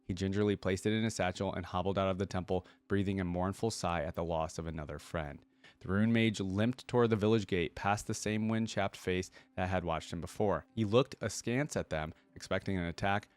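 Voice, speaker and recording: A man's voice: low-pitched, clear, resonant tone. A man hosting a reaction video, reading stories aloud and commenting informally, with exclamations and rephrasing as he goes.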